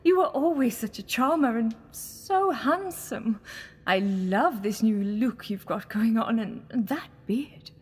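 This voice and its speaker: Woman as husky, seductive villainous alien goddess. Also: on the high side, expressive, slow pace, full character voice. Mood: flirtatious, mocking. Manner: coaxing, theatrical, purring endearments. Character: sinister alien Time Lady posing as goddess, seductive, mocking. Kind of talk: audio drama acting.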